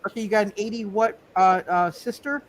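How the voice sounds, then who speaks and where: A game master running a live tabletop superhero game, speaking haltingly and often repeating words and phrases. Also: medium pitch, fairly even intonation, poor audio. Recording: noticeable background noise.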